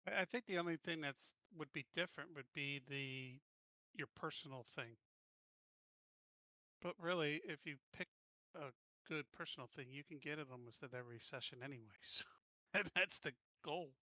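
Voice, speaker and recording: A man with a bright, forward voice that sits a little high talks casually as one player among a game group. The recording is dry, with a slightly muffled top end. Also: normal speed, no room sound.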